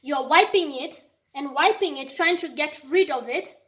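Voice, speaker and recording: A woman's voice: sits high, normal speed, fairly bright tone. A young woman from Kenya with a Kenyan accent, talking straight to camera and giving emphatic advice in a vlog, often repeating lines for weight. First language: not English. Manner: emphatic, repeats lines for effect, acts out quoted people. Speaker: YouTuber.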